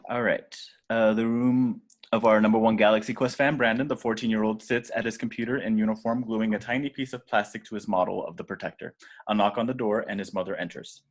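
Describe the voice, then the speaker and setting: A man with a fairly low voice reads screenplay action lines aloud. The delivery is scripted narration, setting scenes and describing movements for a table read. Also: fairly even intonation, brisk. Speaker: reader of stage directions.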